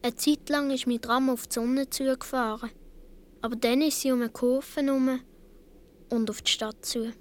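A woman's voice: sits high, steady tone, normal speed, lightly acted. A woman playing a seven or eight year old Swiss boy, telling his story earnestly with a Swiss German accent in a radio play. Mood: wistful, recounting.

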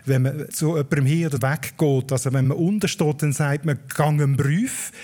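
A man's voice: on the high side, normal speed, dark tone. Swiss-German man explaining dialect history. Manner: explanatory, lecturing on word history. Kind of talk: radio dialect conversation.

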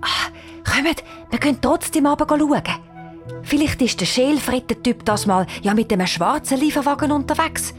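A woman voicing a bold, impatient and bossy young girl in a children's story, excitable and insistent. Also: high voice, normal speed, faint music behind, pitched above the performer's own voice, lightly acted. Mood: conspiratorial.

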